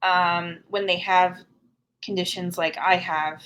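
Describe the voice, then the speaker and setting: Slow, frank-talking woman guest. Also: lowish voice, flat delivery, fairly bright tone, slight background noise, rough recording. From Canada. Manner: earnest, frank and plain-spoken. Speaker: podcast guest. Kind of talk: candid conversational interview.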